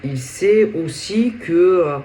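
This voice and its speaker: Hoarse woman with a cold doing a tarot reading, really slow, keeps trailing off, voice goes up and down a lot. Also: lowish voice, lively intonation, dark tone, slight background noise. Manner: halting, searching for words, trailing off. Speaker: tarot reader. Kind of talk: casual tarot reading to camera.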